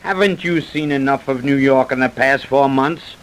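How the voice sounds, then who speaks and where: An actor playing a greedy, self-assured doctor who persuades briskly and smoothly, in old-time radio drama style. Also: male voice, mid-range voice, lightly acted, poor audio. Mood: impatient, insistent. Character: scheming, smooth-talking, greedy doctor plotting fraud. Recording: vintage radio broadcast recording.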